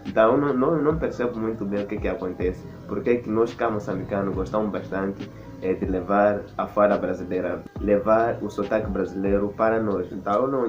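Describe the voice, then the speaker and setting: Mozambican man with a low voice. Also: darkish tone, lo-fi sound. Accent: Mozambican Portuguese. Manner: hesitant, repeats words while searching. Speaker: vlogger. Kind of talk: casual explanatory vlog.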